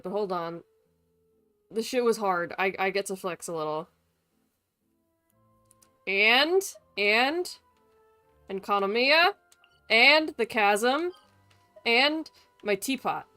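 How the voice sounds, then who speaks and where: A woman's voice: mid-range voice, lively intonation, takes it slowly, pauses often, bright, forceful tone, clear, resonant tone. Sweary woman streamer over faint music. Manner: irreverent, sweary. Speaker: live streamer playing a game. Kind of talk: casual gaming commentary. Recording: faint game music behind voice. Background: game music.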